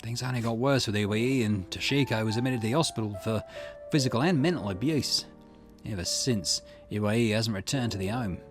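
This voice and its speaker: A man reading video game dialogue aloud in an expressive way, with the occasional small stumble. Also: on the low side, fairly quick, music in the background. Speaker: gaming channel host reading a visual novel aloud.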